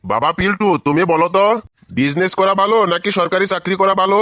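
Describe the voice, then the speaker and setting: Man acting a cartoon teacher figure, fatherly, exasperated, high-pitched, forceful, very dry sound. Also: fast talker, no echo. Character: exasperated adult man, schoolteacher and visiting company manager.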